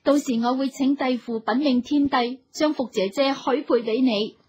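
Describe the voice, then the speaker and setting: An actress playing a caring, spirited sister-in-law in a radio drama, expressive and theatrical, with a Hong Kong Cantonese accent. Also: female voice, high-pitched, normal speed, slightly rough, lo-fi sound. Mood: warm farewell.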